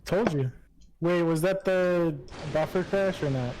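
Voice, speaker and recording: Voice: medium pitch, unhurried, a little hiss or hum, poor audio. A co-commentator chatting casually during a game run, in a questioning manner. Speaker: speedrun co-commentator. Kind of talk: casual chat. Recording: loud game music, keyboard clatter. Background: loud game music, keyboard typing.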